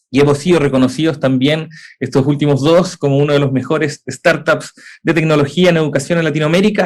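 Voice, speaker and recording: A young man with a Chilean accent presenting in a webinar, explaining at length with frequent "eh" fillers and rhetorical questions. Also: a little high. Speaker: edtech founder presenting.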